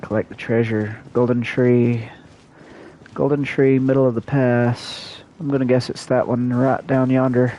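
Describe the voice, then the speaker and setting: A man's voice: medium pitch, little pitch movement, unhurried, dark tone, a little hiss or hum, rough recording. A man doing casual, folksy game commentary, muttering to himself as he plays. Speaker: game streamer. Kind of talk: casual livestream game commentary, reading dialogue aloud. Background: faint game music.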